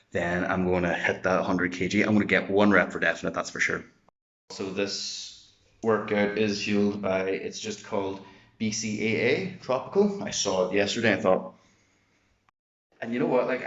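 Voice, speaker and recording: Low-voiced man vlogging a workout. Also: fairly bright tone. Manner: boisterous, chatty, swears when hyped. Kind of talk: casual workout vlog. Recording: echoey, dull lo-fi room sound.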